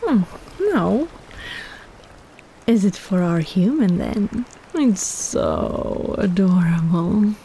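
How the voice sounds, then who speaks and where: A woman voicing a possessive, flirtatious female kraken in a romantic roleplay audio, teasing in a sultry, playful, unhurried way with sighs. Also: mid-range voice, huge pitch swings, dark tone, slight background noise, exaggerated voice. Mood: smug teasing.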